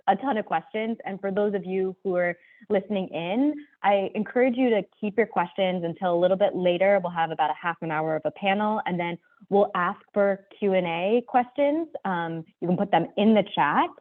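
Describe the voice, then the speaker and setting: A woman moderating an online panel in a chatty, loose way, thanking speakers and steering the talk. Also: mid-range voice. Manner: chatty, loose, with fillers and quick apologies. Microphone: video call. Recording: tinny phone-like video call audio.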